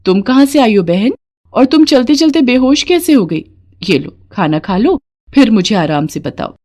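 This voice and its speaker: A woman's voice: fairly high pitch, sing-song, moderate pace, darkish tone, pitched above the performer's own voice. A kind roadside-eatery owner from a moral storybook, put on by a woman narrator. She is blunt and protective, talking like a big sister. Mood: concerned.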